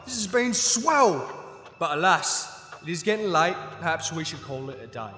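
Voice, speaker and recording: A man's voice: a little high, big pitch swings, normal speed, bright, forceful tone. An actor playing a gloating, theatrical gnome king in a fantasy audio drama, with a flippant, mocking drawl. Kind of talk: full-cast fantasy audio drama acting. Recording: echoey hall reverb, music bed.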